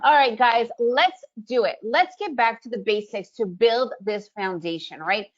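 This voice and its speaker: A woman's voice: on the high side, a little slow, dull audio. A woman raised in the US, a Russian speaker, giving an upbeat, chatty webinar presentation and often checking in with "right?". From Soviet Union, raised in the US. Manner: upbeat, chatty, leans on "right?" tag questions.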